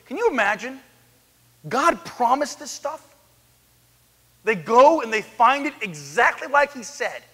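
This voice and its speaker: A man in his thirties preaching an animated sermon on a church stage, swinging from loud to hushed. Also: very high-pitched, unhurried, pauses often, breathy edge. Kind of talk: lively sermon. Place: church sanctuary, large, indoors. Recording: echoey church hall.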